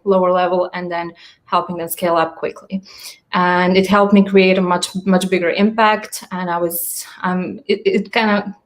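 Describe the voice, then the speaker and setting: A woman in her thirties from Croatia, answering interview questions earnestly, often restarting her sentences over a video call. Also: mid-range voice. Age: 30s. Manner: earnest, frequent restarts and fillers. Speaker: guest.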